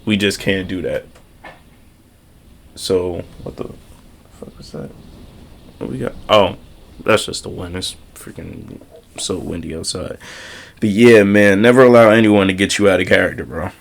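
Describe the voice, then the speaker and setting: A man's voice: low voice, a little slow, vocal fry, pauses often, slight background noise. A young man with a slight cold and a congested voice, giving a casual motivational talk outdoors and repeating key phrases for emphasis. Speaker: motivational vlogger. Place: outdoors on a windy day.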